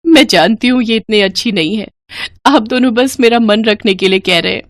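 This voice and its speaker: A woman voicing a caring, humble village wife and mother, gentle and pleading, in a cartoon story. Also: on the high side, moderate pace, dry recording, clean studio sound, pitched above the performer's own voice, lightly acted. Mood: apologetic, tender. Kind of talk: animated moral story dialogue.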